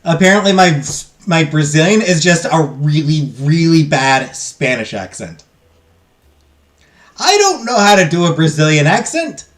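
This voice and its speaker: A man's voice: a little high, animated, measured pace, a bit bright. A man casually commenting while playing a game, joking, swearing and talking to his viewers. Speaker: let's play host.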